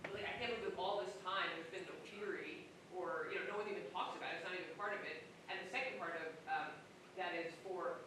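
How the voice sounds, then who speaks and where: A high-voiced man in the audience fires off a question quickly and loudly. He sits far from the mic in a roomy hall. A steady rumble runs underneath. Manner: rapid, outspoken, says he has a loud voice. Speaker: audience member asking a question.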